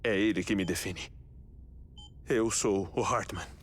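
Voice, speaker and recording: Grieving, reflective Brazilian scientist. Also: male voice, deep voice, a little slow, a little hiss or hum. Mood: melancholy, reflective. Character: grieving, eccentric, scholarly male scientist with a failing heart. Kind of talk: dubbed video game cutscene acting.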